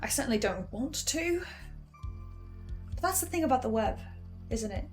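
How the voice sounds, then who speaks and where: A woman's voice: on the high side, animated, normal speed, soft background music, natural voice. Woman playing an earnest, hesitant apocalypse survivor. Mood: uneasy, reflective. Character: troubled, defiant woman archivist surviving a supernatural apocalypse. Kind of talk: horror audio drama monologue.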